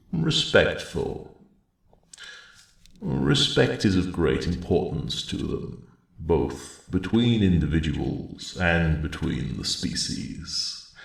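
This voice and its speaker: Super deep rumbly old dragon elder for asmr, very gravelly, slow and unhurried, polite kindly formal talk. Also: male voice, roomy sound, exaggerated voice. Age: older. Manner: measured, hesitant, formal old-fashioned phrasing. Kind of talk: ASMR roleplay, soft intimate storytelling.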